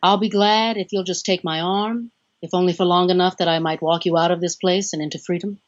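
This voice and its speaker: A woman playing a courtly, probing captor in an audio drama, speaking formally and deliberately with a theatrical, wistful politeness. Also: fairly low pitch, fairly even intonation, highs cut off, close to an everyday voice. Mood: courteous.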